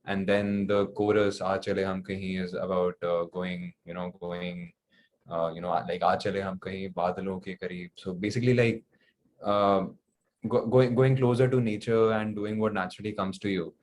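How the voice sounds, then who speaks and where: A young man with an Indian accent gives casual interview answers in a low, very even voice. He is hesitant and often circles back over his words. Faint noise sits behind him. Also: moderate pace. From New Delhi, India. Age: young adult. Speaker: singer-songwriter guest.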